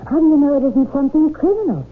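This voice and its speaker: An actress playing a sharp-tongued, suspicious young English wife in a radio drama, speaking in a wry, pointed way. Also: female voice, high voice, animated, quick pace, faint music behind. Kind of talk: old-time radio drama acting. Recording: tinny old radio broadcast, faint noise.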